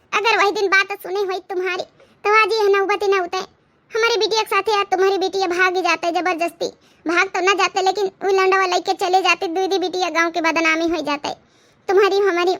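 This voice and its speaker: Village mother for a cartoon woman performer: Awadhi, very high and sharp, moralising, worried, traditional. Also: fairly even intonation, moderate pace. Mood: grateful, apologetic. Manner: scolding, moralising. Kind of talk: cartoon comedy voice acting.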